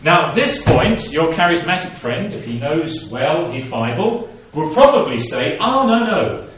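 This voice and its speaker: A male preacher reads scripture and then expounds on it in a fairly high voice. He is recorded from some distance in a large hall, and his words ring out with heavy echo. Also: big pitch swings, measured pace, fairly bright tone. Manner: expository Bible teaching, reading scripture aloud then explaining. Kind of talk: sermon. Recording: very echoey room, voice from a distance.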